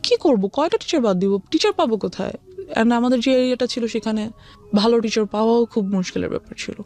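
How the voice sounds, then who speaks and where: A woman's voice: on the high side, expressive, fast talker, warm tone, faint music behind. A woman with a Bangladeshi accent, an interview guest telling a personal story and often switching between Bengali and English.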